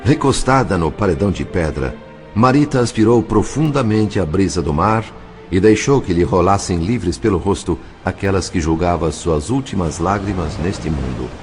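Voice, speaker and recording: A man with a Brazilian accent narrating an audio novel in a measured, solemn, literary way. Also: low voice, lively intonation, a little mellow, faint music behind. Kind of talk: dramatic scripted narration.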